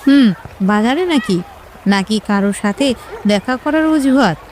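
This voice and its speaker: A woman's voice: fairly high pitch, expressive, moderate pace, a little mellow, a little hiss or hum, natural voice. Woman acting teasing middle-aged village mother. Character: teasing, knowing mother of a grown daughter. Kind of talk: audio romance drama acting.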